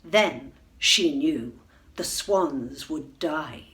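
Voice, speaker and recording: A middle-aged woman reading a children's bedtime story, unhurried and expressive, dropping to a hush at tense moments. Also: deep voice. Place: room at home, indoors. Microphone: webcam facing the reader.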